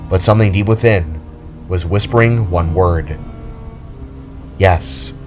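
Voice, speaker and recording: A man with a fairly low voice narrates a dramatic tale in a solemn manner, pausing for effect. Music plays behind him along with the sound of rain. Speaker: narrator and reader of own audio drama. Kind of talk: dramatic scripted storytelling narration.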